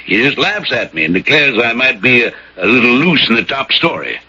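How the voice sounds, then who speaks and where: Radio drama rustic: sharp, raspy, animated New innkeeper, folksy and earnest, dull broadcast sound. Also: male voice, mid-range voice, fairly quick, full character voice. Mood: friendly. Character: plain-spoken, superstitious rural Maine innkeeper, male, deferential.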